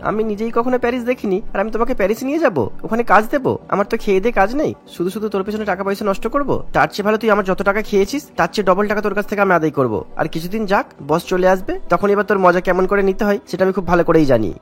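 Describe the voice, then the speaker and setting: Man voicing a rapid-fire, scheming young cartoon trickster. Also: high voice, faint music behind, close to an everyday voice. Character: scheming young male trickster, smooth-talking and greedy. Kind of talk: Bengali cartoon dialogue.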